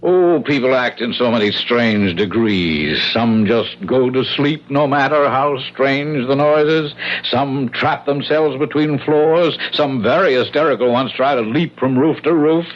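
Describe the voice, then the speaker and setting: A man's voice: medium pitch, measured pace, close to an everyday voice. An actor playing a suave, gloating devil who poses as a kindly old man, with urbane, mock-courteous theatrical delivery in 1970s radio drama style. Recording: dull lo-fi vintage radio broadcast.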